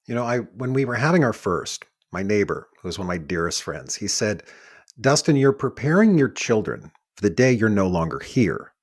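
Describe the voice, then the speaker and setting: Podcast host, man, 40s, husky voice, lively intonation, earnest. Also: fairly low pitch, moderate pace. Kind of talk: conversational interview.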